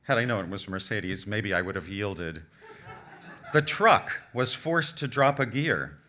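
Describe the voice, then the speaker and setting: A man reads a humorous personal essay at a live event, with a wry, measured, literary delivery and deliberate emphasis. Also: lowish voice, lively intonation, bright, forceful tone. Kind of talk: scripted storytelling, humorous travel essay read aloud. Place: live reading on stage at an indoor venue.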